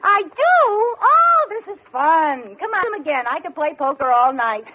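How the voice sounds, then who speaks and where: Naive, chirpy wife in radio comedy; very animated, high voice, noisy vintage audio. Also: female voice, measured pace, exaggerated voice. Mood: innocent delight. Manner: guileless, literal-minded, chirpy. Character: cheerful, naive wife eager to be one of the fellas. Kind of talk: 1940s-50s radio sitcom acting. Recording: dull, noisy old radio recording with audience.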